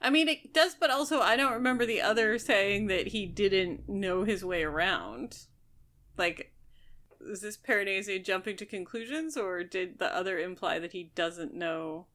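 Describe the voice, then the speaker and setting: A woman's voice: mid-range voice, normal speed, a bit bright. Casual, blunt woman podcaster. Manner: informal, blunt, speculative. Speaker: podcast co-host. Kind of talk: casual book discussion.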